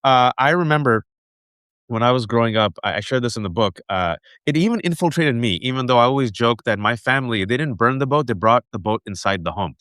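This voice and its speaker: A man in his forties from the United States, hosting an interview and telling animated personal stories with lots of asides. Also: medium pitch, brisk, clean tone, no echo. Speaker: host. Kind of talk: conversational interview.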